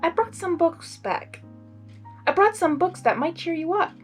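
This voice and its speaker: Woman playing kindly young female pony, storybook reading: bright-spirited, high register, animated, encouraging. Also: a little slow, soft background music.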